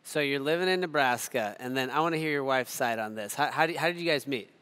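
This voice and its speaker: A man's voice: medium pitch, fast, fairly bright tone. A man hosting a conversation, putting questions to guests informally in a reverberant space. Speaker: host moderating a conversation. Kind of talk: conversational interviewing. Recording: reverberant hall.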